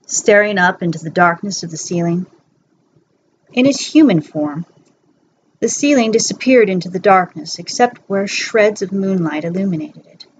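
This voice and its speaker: A woman narrating fan fiction as a bedtime story, reading from a script in an amateur recording. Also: on the low side. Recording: dull lo-fi home recording, faint noise.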